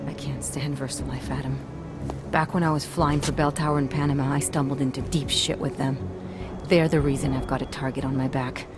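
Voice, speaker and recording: An actress playing a tough, embittered ex-pilot turned rebel in a video game, blunt and streetwise. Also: female voice, a bass voice, flat delivery, a little fast, over music. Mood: bitter, earnest. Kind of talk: video game cutscene dialogue.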